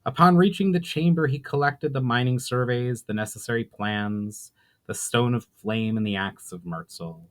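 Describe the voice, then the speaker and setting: Guy narrating his fantasy novel, dramatic reading, medium pitch voice. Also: normal speed. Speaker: author reading own book, host.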